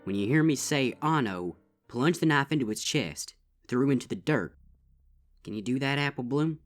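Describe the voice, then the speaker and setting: A man's voice: medium pitch, animated, normal speed, faint music behind, voice pushed up, natural voice. A man voicing a stern, protective older-sister farm pony with a drawl. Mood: solemn. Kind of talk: fanfic audiobook reading.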